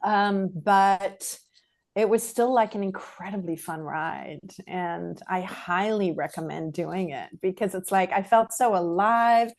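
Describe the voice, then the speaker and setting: Middle-aged South African-born woman, interview guest, loose chatty delivery full of fillers, mid-pitched voice. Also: slow pace. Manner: chatty, rambling, many fillers and restarts. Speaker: guest filmmaker. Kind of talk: casual interview chat.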